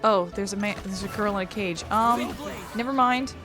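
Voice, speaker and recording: A woman's voice: mid-range voice, normal speed, music in the background. A woman giving casual, rambling let's play commentary, thinking aloud as she goes. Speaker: gaming channel host.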